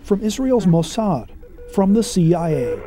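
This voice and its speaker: A man's voice: high-pitched, big pitch swings, measured pace. A man narrating a scripted broadcast feature as its reporter. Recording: music bed under the voice. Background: music.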